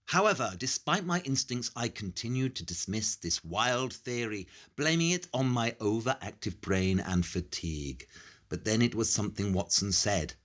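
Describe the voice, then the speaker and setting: A brilliant, sombre Victorian detective in an audio drama. The voice sits fairly low and moves through wide swings of pitch. Each point is laid out with measured, articulate care. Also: male voice, expressive, fairly bright tone. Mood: explaining, sombre. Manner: measured, deliberate, articulate exposition. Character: brilliant male Victorian detective, grave and analytical. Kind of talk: audio play acting, explanatory monologue.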